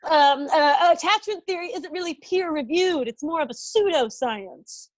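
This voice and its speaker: A woman's voice: high-pitched, moderate pace, natural voice. A woman playing an earnest, nerdy scientist who explains things defensively at a comedy table read.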